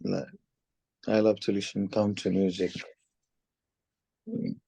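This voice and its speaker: Man from Nepal, hesitant, halting, low-pitched, very dry close sound. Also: level tone, vocal fry, dark tone, breathy edge, no room sound. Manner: hesitant, many fillers and restarts. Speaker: test candidate. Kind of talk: mock speaking exam answers.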